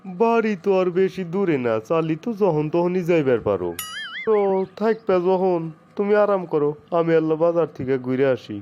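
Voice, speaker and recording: A man's voice: a little high, lively intonation, normal speed, mellow, highs cut off, faint music behind, close to an everyday voice. A man playing a hard-up middle-aged village father-in-law in a comedy cartoon, talking in a folksy, grumbling way with a Sirajganj Bangladeshi accent. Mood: genial.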